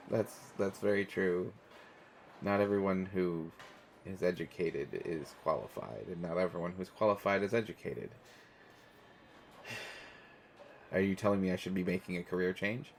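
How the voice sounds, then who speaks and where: An American man playing an awkward, kindly stranger in an intimate, casual audio roleplay, talking in a halting way with trailing pauses. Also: sits low, a little mellow, slight background noise. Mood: amused, wry. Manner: hesitant, halting, with trailing pauses and self-corrections. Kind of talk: intimate one-sided audio roleplay, casual conversational acting.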